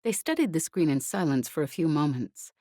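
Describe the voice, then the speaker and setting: A woman's voice: low-pitched, big pitch swings, brisk, warm tone, no room sound, clean studio sound. A woman reading a novel aloud as scripted audiobook narration.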